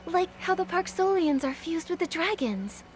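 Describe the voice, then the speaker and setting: A woman playing a gentle, earnest young game heroine, speaking softly and thoughtfully. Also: very high-pitched, expressive, normal speed, slight background noise. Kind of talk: game cutscene acting.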